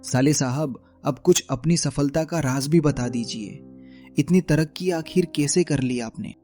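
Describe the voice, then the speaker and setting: A man playing a flattering, money-minded brother-in-law in a village cartoon. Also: on the high side, measured pace, soft background music, lightly acted. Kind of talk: Hindi cartoon story acting.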